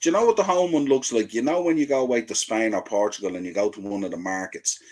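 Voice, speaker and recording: A man's voice: fairly low pitch, fast, a little mellow. Chatty man stumbling through casual banter. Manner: chatty, stumbles and restarts, laughs easily. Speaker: podcast co-host. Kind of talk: casual chat.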